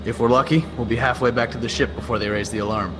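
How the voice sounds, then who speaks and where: Over clear engine noise, a man plays an easygoing human pilot in a tabletop space adventure. He talks quickly and casually, with an upbeat, encouraging manner. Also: mid-range voice, fairly even intonation, natural voice. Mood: upbeat, reassuring.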